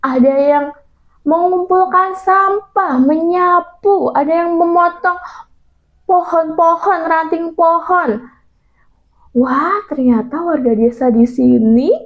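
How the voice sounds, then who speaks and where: A woman telling a story expressively to young children, in a dull lo-fi recording. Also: high voice. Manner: addresses child listeners, expressive. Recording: dull lo-fi sound.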